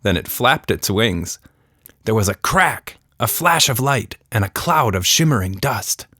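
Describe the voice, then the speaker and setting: A man's voice: on the low side, lively intonation, unhurried, sharp tone, no echo, studio quality. A man narrating a scripted children's story as a storyteller.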